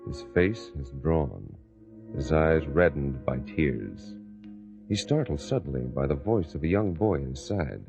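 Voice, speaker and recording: A man with a very low voice narrates a radio drama with solemn weight. His delivery rises and falls expressively over clear background music, in a dull lo-fi recording. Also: lively intonation. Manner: grave, dramatic, measured.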